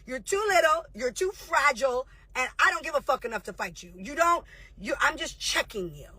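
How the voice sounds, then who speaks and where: A woman in her thirties goes off in a confrontational, profane livestream rant. Her voice sits high and sounds bright and cutting. It is recorded close up, dry, with no room sound. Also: lively intonation, dry recording. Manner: confrontational, profane, rapid-fire. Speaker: reality show host and producer.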